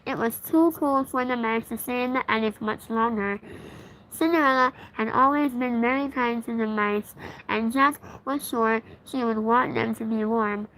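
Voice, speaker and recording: A woman's voice: on the high side, moderate pace, a bit bright. A woman hosting a read-aloud and reading a children's story. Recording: clearly noisy background.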